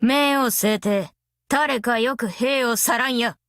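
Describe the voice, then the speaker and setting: Brash, high-pitched female smith. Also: slow, a bit bright, no echo, clean studio sound. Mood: fierce, commanding. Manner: rough, cocky, tomboyish colloquial speech. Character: brash, easygoing, long-lived female master metalsmith. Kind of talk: anime mobile game character voice lines.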